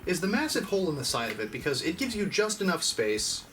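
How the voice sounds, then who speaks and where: A man's voice: on the high side, big pitch swings, quick pace, a bit bright. A man narrating a casual, step-by-step tutorial in a conversational, mildly self-deprecating way. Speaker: host of an audio gear channel.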